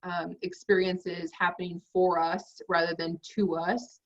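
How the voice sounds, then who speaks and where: Lower-voiced woman host, distant and muffled. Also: flat delivery, a little slow. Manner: encouraging, rambling questions with many fillers. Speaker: podcast host. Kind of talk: casual interview.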